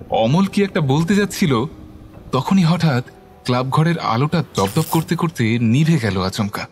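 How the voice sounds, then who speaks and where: A man narrates a horror tale in a scripted, dramatic style. His voice is of medium pitch, and soft music plays faintly beneath it. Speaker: story narrator.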